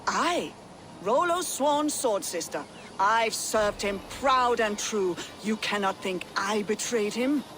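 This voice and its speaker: An actress playing a proud, bitter Viking shield-maiden in a video game, with a defiant, clipped delivery. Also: female voice, mid-range voice, animated, slow, noisy background. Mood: proud, guarded. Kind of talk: video game dramatic acting.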